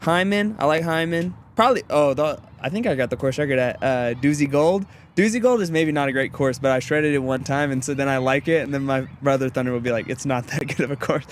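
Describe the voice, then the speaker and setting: A man's voice: mid-range voice, fairly quick, hardly any pauses, a little hiss or hum. Laid-back young man chatting outdoors. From Louisiana. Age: 20s. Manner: easygoing, rambling, frequent "you know what I mean". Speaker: disc golf pro, interview guest. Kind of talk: casual interview chat.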